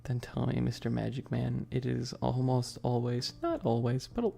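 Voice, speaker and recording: A livestream host chatting casually and informally in a soft, close whispery ASMR delivery into a binaural mic, rambling with teasing asides to chat. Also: medium pitch, fairly even intonation, normal speed, vocal fry. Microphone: close binaural microphone. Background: tapping and keyboard clicking.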